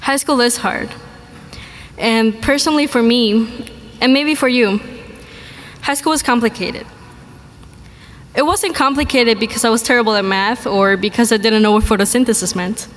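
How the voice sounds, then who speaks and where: A teenage girl from Mexico, a graduating student, giving an earnest, measured commencement speech at a podium in a large hall. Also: a little high. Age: teens. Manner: earnest, measured, slow delivery with pauses. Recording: reverberant hall, faint background noise.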